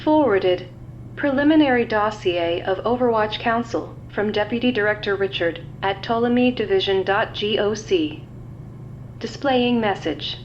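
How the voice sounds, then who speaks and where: A woman's voice: unhurried, lightly acted. Woman voicing an impersonal computer system, slightly muffled. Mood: impersonal, formal. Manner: impersonal, formal, clipped announcements. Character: official computer system reading intelligence files aloud, female. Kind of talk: scripted dossier read-out. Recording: dull lo-fi audio, faint rumbling noise.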